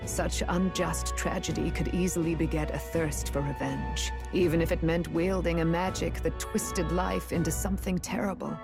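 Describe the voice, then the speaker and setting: A woman voicing a grave, learned sage in a fantasy video game, explaining in formal, measured, solemn sentences. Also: on the low side, steady tone, creaky. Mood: grave, foreboding. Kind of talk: scripted video game voice acting. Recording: loud music behind. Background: game soundtrack music.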